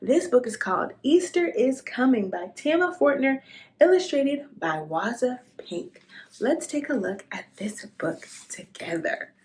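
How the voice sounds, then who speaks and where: A woman narrating a children's storybook expressively, as a read-aloud host speaking to young listeners in an unhurried storytelling way. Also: a little high, big pitch swings.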